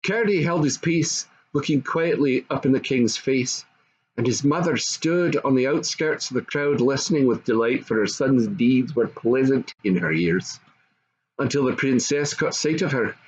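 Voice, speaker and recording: A man's voice: mid-range voice, expressive, normal speed, breathy edge. An older man reading a classic fairy story aloud as a storybook narrator. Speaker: storybook reader. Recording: dull home recording with faint noise.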